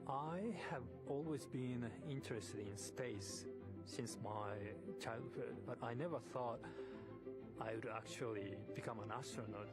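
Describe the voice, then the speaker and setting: A middle-aged man from Japan speaking English, an astronaut giving a measured, earnest profile statement. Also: medium pitch. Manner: measured, earnest, with slow stretched phrases. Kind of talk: prepared personal profile statement. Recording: loud music bed under voice. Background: loud music.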